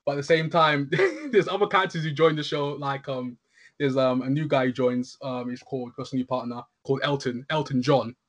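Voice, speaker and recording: A man co-hosting a show, talking casually in an excitable, slangy way. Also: medium pitch, fairly quick. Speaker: co-host reviewing shows. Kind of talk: casual chat.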